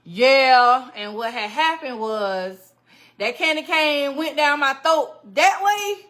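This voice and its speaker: A woman's voice: sits high, lively intonation, takes it slowly, fairly bright tone. A woman hosting a casual live stream, chatting animatedly with viewers, greeting them by name and laughing often. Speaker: livestream host. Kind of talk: casual livestream chat with viewers.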